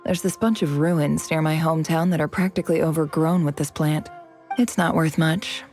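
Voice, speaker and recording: An actress playing a cool, calculating young woman in a video game, a scholarly envoy who speaks with measured, polite persuasion. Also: deep voice, fairly even intonation, a little mellow, faint music behind. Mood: casual, evasive.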